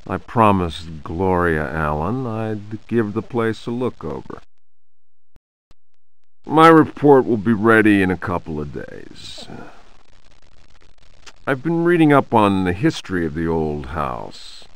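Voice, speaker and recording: An actor playing a wry, hard-boiled private investigator, narrating a video game monologue in a measured, sardonic way. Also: male voice, low-pitched, very animated, full character voice, low-quality recording. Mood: matter-of-fact.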